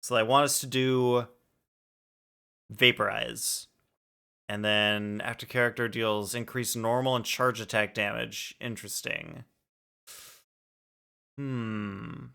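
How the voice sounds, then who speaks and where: A man's voice: mid-range voice, steady tone, slow pace, gravelly, long pauses, bright, forceful tone, smooth. A man chatting casually on a game livestream, informally thinking aloud as he plays. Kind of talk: casual livestream gaming chat. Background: music.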